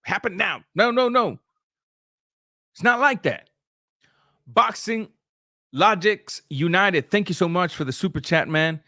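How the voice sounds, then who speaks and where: A man's voice: sits high, expressive, a little slow, pauses often, sharp tone, no room sound, clean studio sound. Casual Spanish-speaking man streaming boxing talk. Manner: loose, conversational, with frequent restarts and filler sounds. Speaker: boxing channel host. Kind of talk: live-stream commentary, reading super chats.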